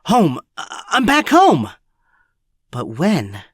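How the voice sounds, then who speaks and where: A man's voice: high-pitched, huge pitch swings, takes it slowly, a bit bright, breathy edge, no room sound, clean studio sound, exaggerated voice. A young man playing an anxious, earnest teenage boy hero in an audio drama, urgent and a little breathless. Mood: bewildered.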